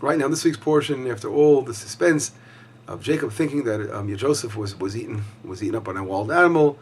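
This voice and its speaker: A hoarse man teaching a weekly Torah lesson as a rabbi, talking conversationally with frequent fillers and restarts. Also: medium pitch, expressive, fairly quick.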